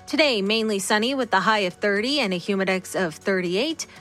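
A woman's voice: medium pitch, lively intonation, brisk, a bit bright, soft background music. A woman reading scripted news and weather for radio. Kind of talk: scripted broadcast newsreading.

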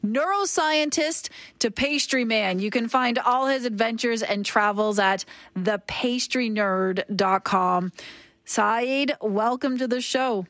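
A radio host with a fairly high woman's voice asks her guest animated, leading questions. Her sound is dry and close, with no room echo. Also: slow. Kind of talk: radio interview.

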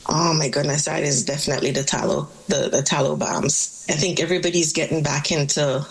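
A woman's voice: low voice, steady tone, a little fast, vocal fry, poor audio. A middle-aged woman, born in Canada and raised in Jamaica, chatting as a small business owner in a radio interview and laughing often as she talks.

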